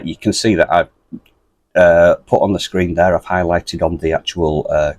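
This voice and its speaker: A British man presenting a step-by-step ham radio tutorial in a deep, bassy voice, steady and methodical with a few ums. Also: slightly rough, no room sound. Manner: steady, methodical, explains with fillers.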